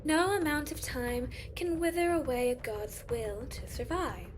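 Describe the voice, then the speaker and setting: A woman's voice: high-pitched, unhurried, a little hiss or hum. A woman playing a haughty, solemn judge of mythic beings in a fantasy audio drama, speaking formally. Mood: disdainful. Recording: loud music under the voice.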